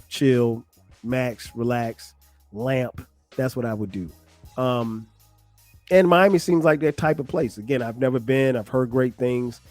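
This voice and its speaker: A man's voice: mid-range voice, darkish tone, dry recording. A middle-aged man from South Carolina hosting his own podcast in a loose, chatty way, full of ums and casual slang. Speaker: podcast host. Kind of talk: casual solo podcast talk.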